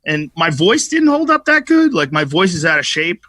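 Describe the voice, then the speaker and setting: Male comic guest, bright voice a touch high, swearing storyteller, dry studio sound. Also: moderate pace, no room sound. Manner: profane, animated storytelling. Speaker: comedian guest. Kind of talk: casual podcast chat.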